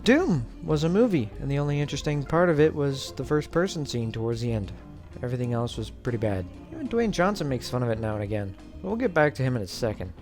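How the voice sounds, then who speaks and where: A man's voice: mid-range voice, big pitch swings, fast, a little mellow. A man giving casual scripted commentary in a sarcastic, informal manner. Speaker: host of a video essay series. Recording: background music under voice. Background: music.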